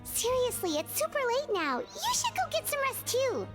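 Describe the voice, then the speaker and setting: A woman's voice: extremely high pitch, huge pitch swings, normal speed, loud music behind the voice, heavily acted. A woman voicing a tiny, childlike fairy companion in a video game, chirpy, bubbly and informal. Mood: friendly concern.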